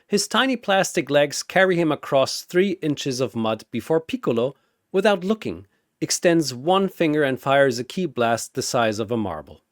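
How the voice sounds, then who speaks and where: A man delivering dramatic scripted battle narration in a theatrical, deliberate way. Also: a little high, sing-song, no echo, clean studio sound.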